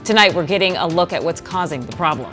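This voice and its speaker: Female anchor, lower register, crisp studio read over a soft music bed. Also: animated, fast. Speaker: news anchor. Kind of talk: newscast reading.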